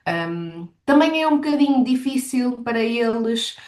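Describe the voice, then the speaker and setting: A woman's voice: on the high side, animated, measured pace, darkish tone. A woman with a European Portuguese accent giving conversational interview answers as a language teacher, explaining things and acting out quotes. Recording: roomy, echoey sound.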